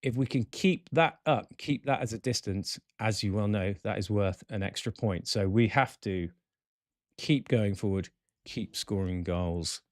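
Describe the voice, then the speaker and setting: A man hosting a football fan show, reading out match stats and player ratings with chatty asides in a croaky voice. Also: lowish voice, measured pace, dry recording, clean studio sound. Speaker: football podcast host. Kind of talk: casual fan-show commentary.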